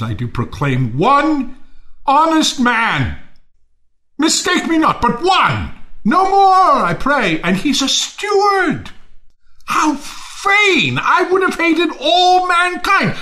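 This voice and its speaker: Volatile, embittered Shakespearean lord. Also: male voice, extremely high pitch, exaggerated voice. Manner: declamatory, volatile, swinging from murmurs to shouts. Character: embittered, misanthropic, wild-tempered ruined lord cursing mankind. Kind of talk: Shakespearean stage-style audio drama acting.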